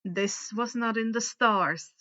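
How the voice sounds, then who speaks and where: A woman's voice: a little high, slow pace, sharp tone, lo-fi sound. A woman voicing a solemn, wary male centaur who speaks in a grave, questioning manner.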